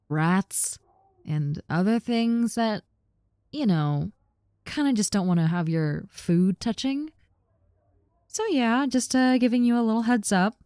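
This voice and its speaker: A woman as a friendly lamia roommate, mellow and close. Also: on the high side, smooth, no room sound. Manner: casual, reassuring, teasing. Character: friendly, harmless giant snake-girl lamia roommate, young woman. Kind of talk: roleplay audio with personal attention, conversational acting.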